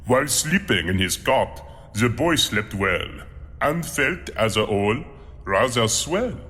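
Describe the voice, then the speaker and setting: A man's voice: mid-range voice, sing-song, measured pace, a bit bright. A man playing a huge, courteous cannibal monster in an audio drama, earnest and proud, with formal, theatrical delivery. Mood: solemn, dramatic. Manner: formal, polite, theatrical verse recital. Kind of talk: audio drama acting with poetry recital. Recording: long hall-like reverb.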